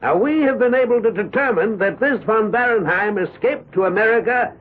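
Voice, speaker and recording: An actor playing an earnest, persuasive defense lawyer, pleading with deliberate oratory in a 1970s radio drama. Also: male voice, sits high, phone-quality sound. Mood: probing, formal. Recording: thin band-limited vintage radio recording.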